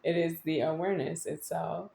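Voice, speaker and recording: A woman giving a rambling, unscripted monologue to an audience, halting at times with drawn-out words and insistent repetition. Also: deep voice, steady tone, vocal fry, warm tone. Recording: echoey room.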